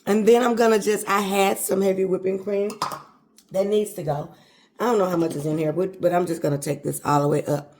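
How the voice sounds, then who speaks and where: A woman's voice: medium pitch, steady tone, a little fast, darkish tone, a little hiss or hum. A woman, chatting folksily through a home cooking tutorial and calling her viewers baby and honey. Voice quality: hoarse voice. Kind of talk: casual cooking tutorial chat.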